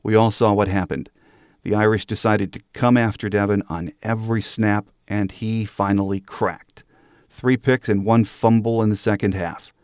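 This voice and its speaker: A man's voice: fairly low pitch, fairly even intonation, normal speed, a little mellow, smooth, no room sound, clean studio sound. A man, middle-aged or older, hosting a sports podcast and delivering prepared solo commentary. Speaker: podcast host.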